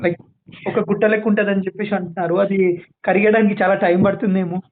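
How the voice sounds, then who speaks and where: Man, high voice, rapid and needling, tinny phone line, faint noise. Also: level tone. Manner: quick, needling questions. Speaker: prank caller. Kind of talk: prank phone call.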